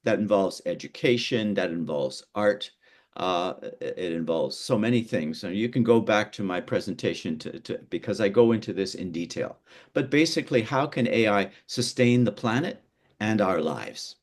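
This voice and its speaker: Halting middle-aged male workshop teacher. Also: fairly low pitch, lively intonation. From Canada. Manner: halting, many fillers and repeated words. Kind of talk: online workshop lesson. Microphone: video call.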